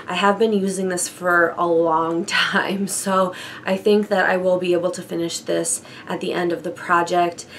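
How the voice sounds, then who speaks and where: A young woman with a froggy, hoarse voice from a chest cold, chatting casually through a beauty product update with light laughs and fillers. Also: on the low side, a little hiss or hum. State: hoarse from bronchitis. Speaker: beauty vlogger.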